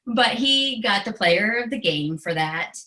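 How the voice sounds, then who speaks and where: A woman's voice: mid-range voice, animated, a little slow, pushed and bright. A middle-aged American woman speaking in an earnest, emotionally open, conversational way as an interview guest telling personal stories. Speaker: guest author and special education educator.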